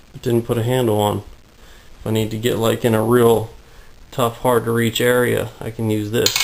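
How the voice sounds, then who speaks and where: A man giving a casual tour of his home workshop, rambling through his explanations with lots of fillers, out of breath and getting raspy in the heat. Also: medium pitch, rough recording. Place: hot, humid home workshop.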